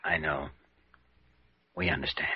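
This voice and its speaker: An actor playing a kindly, earnest doctor with an American accent and a gentle bedside manner in an old-time radio drama. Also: male voice, a bass voice, steady tone, a little slow, husky, full character voice. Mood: sombre, sympathetic. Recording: muffled old radio recording.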